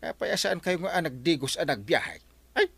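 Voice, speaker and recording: A loud, mocking husband in a radio comedy. His voice leaps wildly up and down with every exclamation. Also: a little high, sing-song, moderate pace, gravelly, breathy edge, dry recording, exaggerated voice.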